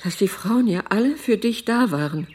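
An actress playing a weary, headstrong older mother in a naturalistic radio drama, husky and speaking in reticent fragments. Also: medium pitch, lively intonation, moderate pace, a little mellow. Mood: wistful.

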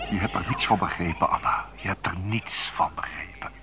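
Actor as weary male stranger, low voice, aloof, firm, radio play, noisy recording. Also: moderate pace, lightly acted. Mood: cold, resigned. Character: weary, guarded, unyielding male stranger on telephone. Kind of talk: radio drama acting.

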